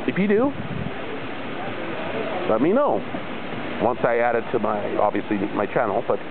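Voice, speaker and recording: Middle-aged man, travel vlogger, rambling poolside chat, phone-line thin sound, steady outdoor noise behind. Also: medium pitch, moderate pace. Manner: rambling, repeats himself, many fillers. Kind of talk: casual rambling vlog monologue. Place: outdoors by a resort pool. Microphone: phone camera held low at arm's length.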